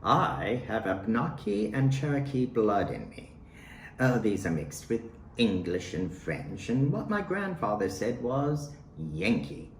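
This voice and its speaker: Slow, expressive man reading poetry, roomy sound. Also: fairly low pitch. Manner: deliberate, short declarative phrases with pauses. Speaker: poet reading own work. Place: at home in a residence. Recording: roomy home recording with faint noise.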